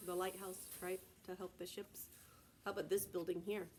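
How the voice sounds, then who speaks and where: A woman's voice: lowish voice, flat delivery, normal speed, darkish tone, slight background noise. An American woman, a preschool teacher from Texas, reads aloud to young children in simple phrases, stopping to explain and ask questions. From Texas, United States.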